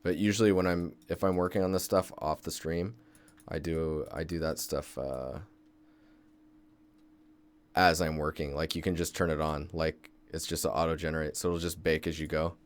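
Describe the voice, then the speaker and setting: Low smooth-voiced man streaming. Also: fairly even intonation, moderate pace. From Seattle, Washington State, US. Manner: laid-back, thinks aloud while working. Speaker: game environment artist streaming. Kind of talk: casual live-stream tutorial, chatting with viewers.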